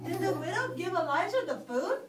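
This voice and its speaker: A woman's voice: extremely high pitch, big pitch swings, fairly quick, very noisy. A woman voicing a curious young male puppy puppet who asks questions, recorded in a church preschool classroom. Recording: echoey room from a distance.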